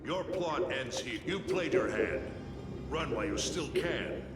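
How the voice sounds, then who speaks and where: A commanding fantasy game guard, formal and stern in a mid-range voice. The sound is echoey and reverberant, with music underneath. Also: male voice, fairly high pitch, animated, a little slow. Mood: stern warning. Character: stern city guard, loyal and threatening to enemies. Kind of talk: dramatic video game voice acting. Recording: echoey hall, music behind.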